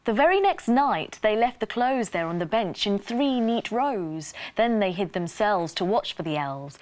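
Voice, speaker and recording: A woman presenting a scripted children's bedtime story in an expressive, sing-song rhyming delivery. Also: medium pitch, measured pace.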